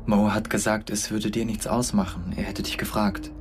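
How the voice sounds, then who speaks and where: An actor playing a young man who pleads in a halting, hesitant way with someone who won't answer, in a radio drama. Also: on the low side, level tone, natural voice. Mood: pleading. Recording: radio play with loud music.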